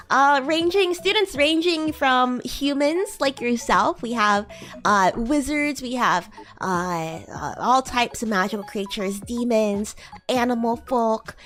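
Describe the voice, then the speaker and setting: A woman's voice: sits high, a bit bright, exaggerated voice. A woman voicing a bratty, haughty young demon class president in a livestream roleplay, teasing and condescending as she improvises. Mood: boastful, playful. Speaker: VTuber streamer playing a roleplay character.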